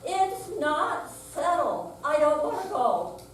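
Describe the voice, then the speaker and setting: A woman playing a stubborn, sharp-tongued elderly mother who feigns forgetfulness, in a staged play reading. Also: high voice, very animated, slow pace, exaggerated voice. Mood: defiant. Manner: dry, obstinate, feigning forgetfulness. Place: senior center room, live staged reading. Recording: very echoey hall, distant mic, noisy.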